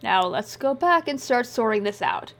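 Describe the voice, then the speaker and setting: A woman voicing a pushy, blunt male comrade who talks loudly and directly. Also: fairly high pitch, lively intonation, moderate pace, natural voice. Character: gruff pushy male comrade with strong regional accent.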